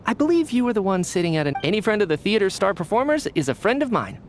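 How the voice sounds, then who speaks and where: Brief: an animated, sociable young official, lively pitch, light hiss. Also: male voice, quick pace. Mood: cheerful. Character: friendly, fussy young male official. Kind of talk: game voice acting.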